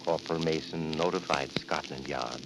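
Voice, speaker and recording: An actor playing an eerie male storyteller who hosts a 1940s radio horror show, speaking slowly and ominously. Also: a bass voice, steady tone. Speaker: narrator. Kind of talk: 1940s radio drama narration. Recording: hissy old radio transcription.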